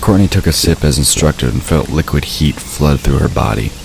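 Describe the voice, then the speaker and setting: A man with an extremely deep, low voice narrates a fantasy story. He reads scripted prose as the narrator of an audio drama, with faint ambient noise behind him. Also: lively intonation, moderate pace, gravelly.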